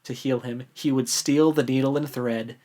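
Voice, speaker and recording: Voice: medium pitch, steady tone, normal speed, warm tone. A podcast co-host with an American accent, chatting informally and with some amusement about a book. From United States. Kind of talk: casual book discussion.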